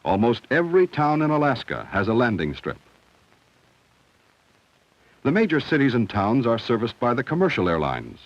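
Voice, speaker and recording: A man reading scripted narration for a 1970 educational film in a measured, instructional, clearly enunciated way. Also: medium pitch, huge pitch swings, dry recording. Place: studio voice-over. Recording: dull, dry vintage film soundtrack.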